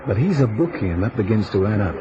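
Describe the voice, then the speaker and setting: A confident, easygoing private detective from an old radio mystery. His voice sits fairly low and his talk is slangy and knowing. The recording is dulled, with clear hiss. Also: very animated, fast, dull audio. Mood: knowing, measured. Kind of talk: vintage radio detective drama acting.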